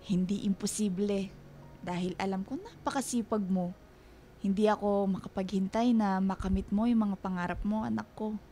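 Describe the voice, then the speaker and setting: A woman playing a selfless, hard-up middle-aged mother in a Filipino radio drama, speaking gently and reassuringly. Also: mid-range voice, steady tone, moderate pace, a little hiss or hum, close to an everyday voice. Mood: proud, tender. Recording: music behind the voice.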